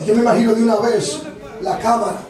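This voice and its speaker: A man preaching a lively sermon with a Dominican accent, animated and joking with the congregation. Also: very high voice, fast, crowd noise. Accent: Dominican Spanish. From Dominican Republic. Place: church hall. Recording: echoey hall from far off, congregation.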